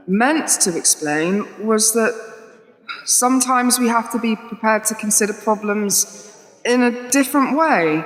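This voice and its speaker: Young pragmatic company woman, slightly high voice, reasoned public speaking, wry, radio drama, strong room echo. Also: a bit bright. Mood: wry, conciliatory. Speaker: actor in a radio play. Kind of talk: public speech in a radio play. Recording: echoey hall with long reverb.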